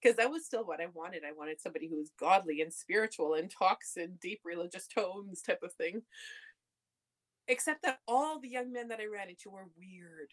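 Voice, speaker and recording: A young adult woman from Canada, telling her story candidly in a relaxed conversation. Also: medium pitch, moderate pace. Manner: candid storytelling, laughs often. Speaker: podcast guest. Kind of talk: casual conversation.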